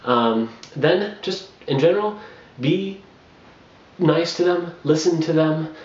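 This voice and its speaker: A man's voice: fairly high pitch, animated, slow, darkish tone. A young man in his twenties giving casual advice straight to camera in a small bedroom, rambling with lots of fillers and restarts, recorded on the camera. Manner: rambling, frequent fillers and restarts, laughs between points. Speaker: travel blogger. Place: small bedroom with bare wall. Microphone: camera on a makeshift tripod. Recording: roomy, dull lo-fi camera audio.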